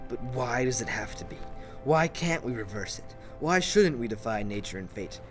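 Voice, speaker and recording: A man's voice: medium pitch, lively intonation, normal speed, lightly acted. A man playing an earnest, questioning army leader and grieving father in an audio drama. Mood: troubled, challenging. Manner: probing, earnest. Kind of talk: scripted audio drama acting. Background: music.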